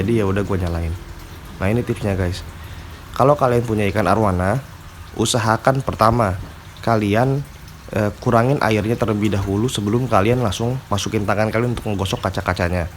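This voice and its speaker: Male vlogger, slightly low voice, stuffy nose from a cold, relaxed how-to delivery with faint noise behind. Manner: casual slangy talk, frequent fillers. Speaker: aquarium hobby vlogger. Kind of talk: casual tutorial chat.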